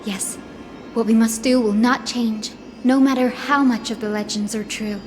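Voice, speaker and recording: A woman's voice: on the high side, fairly even intonation, moderate pace, a little mellow, natural voice. A woman voicing an earnest, hopeful young descendant of sky folk in a video game cutscene, with a solemn, poetic storytelling manner. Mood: solemn, resolute. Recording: background music under the voice.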